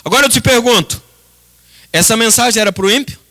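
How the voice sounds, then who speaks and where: High, bright-voiced Brazilian man preaching. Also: fairly quick. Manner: emphatic, dramatic, with rhetorical repetition and direct address to the congregation. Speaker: pastor preaching. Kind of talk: impassioned church sermon. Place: church service.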